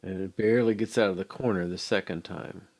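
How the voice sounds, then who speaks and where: A man's voice: low-pitched, fairly even intonation, a little fast, darkish tone, no echo. An older man giving casual think-aloud commentary as he codes, musing and muttering to himself. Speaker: programmer hosting a live coding session. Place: indoor room at home.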